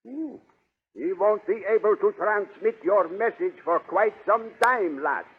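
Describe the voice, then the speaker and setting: A supporting role in a 1930s space adventure serial. The voice is high for a man and rough-edged, heard through a thin, tinny old broadcast. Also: lively intonation, a little slow, exaggerated voice. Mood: calm. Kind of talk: 1930s radio serial acting.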